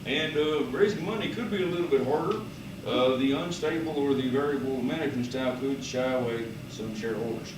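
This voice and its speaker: A man voicing a unicorn billionaire boss in an amateur puppet show, halting and mock-serious. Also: mid-range voice, voice pushed down, lightly acted. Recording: roomy, noisy, far from microphone.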